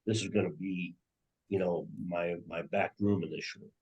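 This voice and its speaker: A man's voice: sits low, fairly even intonation, moderate pace, a little mellow, husky, distant mic, dull audio. An older man speaking hesitantly as he searches for words, a participant asking a question on a group call.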